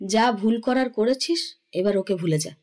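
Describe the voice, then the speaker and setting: Woman acting middle-aged indian mom, high pitched, fond scolding n teasing, theatrical audio drama. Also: lively intonation, normal speed, a little mellow, lightly acted. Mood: mock stern. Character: affectionate, teasing middle-aged mother and aunt. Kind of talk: Bengali audio story acting.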